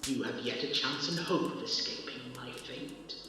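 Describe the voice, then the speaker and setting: A man playing a tormented, mournful ghost of a dead businessman in an audio drama, declaiming gravely and theatrically through ghostly reverb. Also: mid-range voice, normal speed. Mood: grave warning. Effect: heavy ghostly reverb. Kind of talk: audio drama acting of Victorian literary dialogue. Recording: cavernous long reverb, distant, loud noise.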